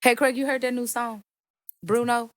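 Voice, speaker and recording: Deep-voiced woman singer, animated and teasing. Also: moderate pace, no echo, studio quality. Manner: animated, emphatic, playful teasing. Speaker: singer and songwriter, guest. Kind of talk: casual interview chat.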